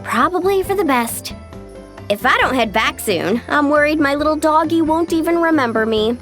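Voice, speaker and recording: Very high, animated young guide. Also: female voice, normal speed. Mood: chatty, reflective. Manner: chatty, animated. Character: young female tour guide, upbeat and caring. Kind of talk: video game voice acting. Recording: background music.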